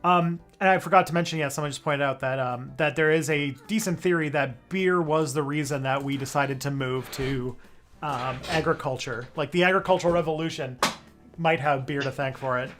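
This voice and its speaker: A man hosting a livestream in loose, joking chat. He pads his talk with fillers and speaks in a fairly high voice. Soft music plays faintly in the background. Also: fairly even intonation, normal speed. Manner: chatty, filler-heavy, joking. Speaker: livestream host.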